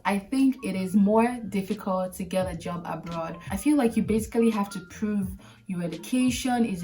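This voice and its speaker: A woman's voice: medium pitch, darkish tone, recorded from a distance. A woman in her late twenties with a Nigerian accent, hosting an advice vlog in an emphatic, direct way that repeats points for stress. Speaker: video host.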